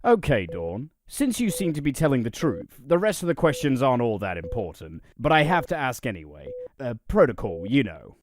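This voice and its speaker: A man's voice: medium pitch, big pitch swings, moderate pace, slight background noise, natural voice. A man playing an affable, quirky wasteland observatory boss in an audio drama, talkative and apologetic in manner. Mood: casually inquisitive. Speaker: voice actor.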